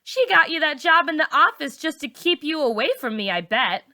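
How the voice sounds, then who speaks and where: A woman's voice: sits high, expressive, normal speed, pushed and bright, voice pushed up, full character voice. A woman voicing a bossy, mocking teenage girl who leads her group of friends. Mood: taunting. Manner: mocking, taunting.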